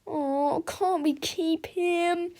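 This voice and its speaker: A woman reading a children's storybook as an eager, soft-hearted, rather sheepish schoolboy. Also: extremely high pitch, fairly even intonation, extremely slow, voice pushed up, heavily acted. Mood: pleading, disappointed. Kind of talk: children's storybook read-aloud.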